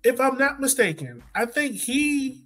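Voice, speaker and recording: A man's voice: extremely high pitch, sing-song, measured pace, sharp tone, soft background music. A man hosting a soccer podcast, telling sports stories in an informal, conversational way and checking in with his listener. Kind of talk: casual conversational sports storytelling.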